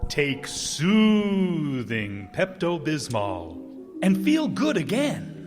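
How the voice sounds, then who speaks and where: Man as a high, swooping radio pitchman. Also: wildly swooping pitch, very slow, heavily acted. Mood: soothing. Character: singsong male pitchman in an old radio commercial. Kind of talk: 1940s radio advertising. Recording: echoey hall, prominent music behind.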